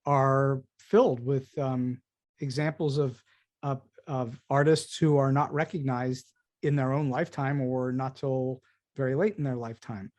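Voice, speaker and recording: A man hosting a conversational interview, repeating words haltingly as he thinks. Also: medium pitch, fairly even intonation, darkish tone. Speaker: podcast host.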